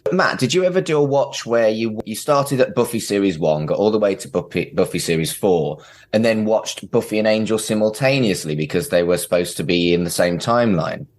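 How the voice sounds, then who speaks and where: A man hosts a podcast in a relaxed conversational style. His voice sits fairly low but is very animated, with wide swings in pitch. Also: fairly quick, few pauses. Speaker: podcast host. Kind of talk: casual conversational hosting.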